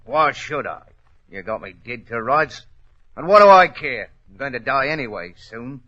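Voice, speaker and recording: An actor playing a rough, boozy ex-convict valet, slangy and swaggering, in a 1940s radio drama. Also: male voice, mid-range voice, animated, moderate pace, pushed and bright. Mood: bitter, agitated. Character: rough, boozy working-class ex-convict valet, blustering villain. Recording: dull old radio recording.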